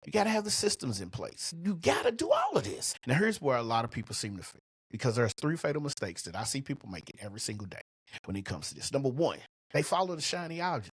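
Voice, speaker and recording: A middle-aged man with a husky voice hosting a motivational business podcast, speaking in a colloquial, emphatic, punchy way on a close microphone. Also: mid-range voice, huge pitch swings, quick pace, no echo. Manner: colloquial, emphatic, punchy delivery with rhetorical questions. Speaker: podcast host and coach.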